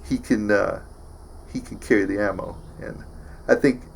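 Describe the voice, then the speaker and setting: A man playing a slow-witted, tough action-movie hero who stammers and mumbles through favours and boasts in a comedy sketch. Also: on the low side, fairly even intonation, creaky, a little hiss or hum, poor audio. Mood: dim-witted bragging. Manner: halting, mumbling, stammering braggadocio.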